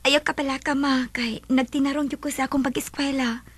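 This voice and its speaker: A woman with a Filipino Cebuano accent playing a sweet, lovestruck high school girl in a radio drama, girlish and emotive. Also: fairly even intonation, brisk, close to an everyday voice. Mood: earnest. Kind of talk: radio drama acting and first-person narration. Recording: dramatic music behind the voice.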